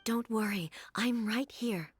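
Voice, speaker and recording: A woman playing a dignified, composed ruler with godlike authority in a video game, speaking in a formal, measured way. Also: a little high, husky. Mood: calm, reassuring.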